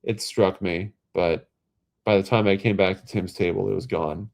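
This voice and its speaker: A man, congested and a little nasal from a head cold, chatting casually and haltingly on his livestream, full of fillers and restarts. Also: deep voice, steady tone, gravelly, a little mellow. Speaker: livestream host.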